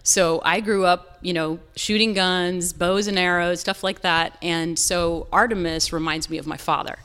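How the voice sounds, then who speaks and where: An American woman with a voice a little lower than most speaks as an interview guest. She explains things earnestly and in a conversational style, like a business owner talking about her work. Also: steady tone, normal speed, a bit bright, clean tone. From North Carolina, southern United States.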